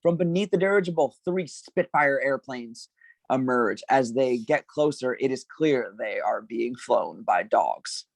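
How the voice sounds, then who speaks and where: Casting: male narrator for a script table read, average pitch, close dry sound. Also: lively intonation, unhurried, gravelly, no echo. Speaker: stage directions reader.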